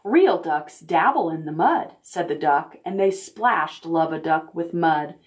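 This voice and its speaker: Slow, gentle storytime librarian woman. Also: low-pitched, animated. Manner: asks the children questions while reading; gentle, deliberate storytime delivery. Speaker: children's librarian. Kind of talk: reading picture books aloud to preschoolers.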